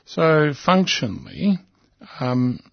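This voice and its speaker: A man with an Australian accent and a croaky, hoarse voice, hosting talk radio with many ums and repeated words. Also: on the high side, slow pace, no room sound, dull audio. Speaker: radio host. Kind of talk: casual talk radio commentary.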